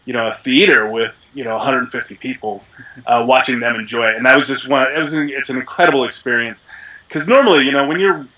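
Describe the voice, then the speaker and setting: Bright-toned man, slightly sick, chatty. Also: fast talker, dull audio. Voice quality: deep resonant voice. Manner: chatty, rambling, self-deprecating with frequent fillers. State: a little sick. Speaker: voice actor guest. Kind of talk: casual interview chat.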